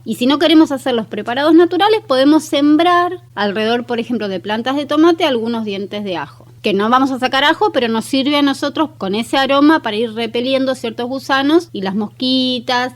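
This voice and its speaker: A woman's voice: high-pitched, a little fast, few pauses. An Argentine woman speaking as a guest gardening expert in a radio interview, explaining things conversationally in a practical, instructive way. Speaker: guest expert on aromatic plants and home gardening.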